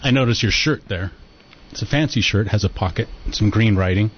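A man hosting a casual radio talk show, chatty and relaxed, reading out headlines between conversation. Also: fairly low pitch, fairly quick, husky, dull audio.

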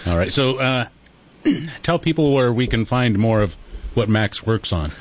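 A man's voice: mid-range voice, expressive, measured pace, highs cut off. A man hosting a radio show, chatting casually and calling his guest "dude", sore from bruised ribs. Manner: laid-back, says "dude" often.

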